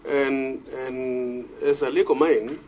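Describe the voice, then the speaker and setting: A man with a Kenyan accent speaking formally as a committee senator, measured and hesitant, often repeating words as he goes. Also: mid-range voice, fairly even intonation, a little mellow, slight background noise. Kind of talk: formal parliamentary debate.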